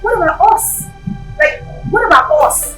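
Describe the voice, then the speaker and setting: A woman playing a jealous, hot-tempered young princess who shouts and scorns. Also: very high-pitched, lively intonation, normal speed, faint music behind. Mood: confronting. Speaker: actress in a comedy skit. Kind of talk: skit acting.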